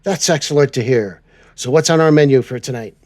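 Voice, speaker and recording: A man's voice: medium pitch, a little fast, a little mellow. A man hosting a semi-scripted trucking show in a playful, upbeat manner. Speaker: show host in trucking persona.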